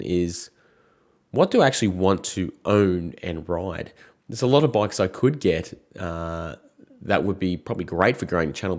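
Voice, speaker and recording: A man in his thirties, croaky from a cold, chatting casually and frankly to camera as a motorcycle vlogger. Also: low voice, a little mellow.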